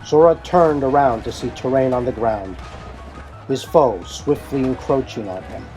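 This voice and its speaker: A man's voice: mid-range voice, measured pace, dark tone, rough recording. A man delivering scripted, dramatic narration for an audio drama. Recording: music bed behind the voice.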